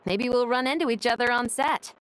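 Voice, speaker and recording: A woman's voice: fairly high pitch, brisk, a little hiss or hum, natural voice, poor audio. An actress playing a helpful, easygoing woman musketeer. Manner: relaxed, obliging. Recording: game audio with music behind.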